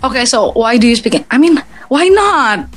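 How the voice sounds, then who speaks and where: A woman's voice: on the high side, big pitch swings, moderate pace, faint music behind. A woman from Indonesia with an Indonesian accent, giving earnest advice in casual English chat, often restarting and repeating words.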